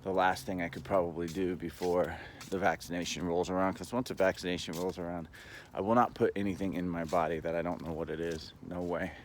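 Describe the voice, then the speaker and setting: A man talking in a casual, rambling monologue, halting but earnest and opinionated, as a vlogger. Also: low voice, level tone, a little hiss or hum.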